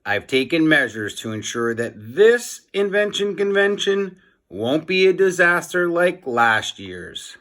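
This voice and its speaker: A man's voice: fairly high pitch, very animated, measured pace, fairly bright tone, voice pushed up. A man voicing a self-assured male school principal from a children's storybook, making formal announcements.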